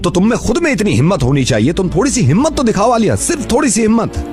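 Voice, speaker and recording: A man's voice: high voice, very animated, fast, darkish tone, faint music behind. A man, a radio host, gives a caller an earnest, lecturing pep talk over the phone.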